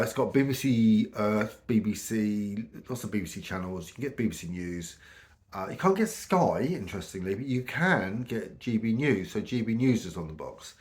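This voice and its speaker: A middle-aged British man with a croaky voice from a cold, chatting casually to camera in a rambling way with many ums. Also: fairly low pitch. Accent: British English. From United Kingdom. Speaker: vlogger.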